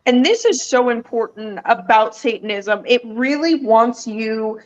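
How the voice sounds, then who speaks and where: A woman's voice: fairly high pitch, a little slow. A woman guest in casual chat, explaining her views in plain, confident statements. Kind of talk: casual video-call chat. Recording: dull lo-fi audio.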